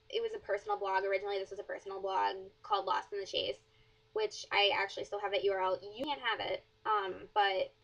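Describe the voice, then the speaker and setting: Rambling teen girl with flat delivery. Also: mid-range voice. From southern Wisconsin, USA. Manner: rambling, many fillers and "like". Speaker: blogger answering questions. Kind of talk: casual Q&A vlog. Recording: dull lo-fi webcam audio.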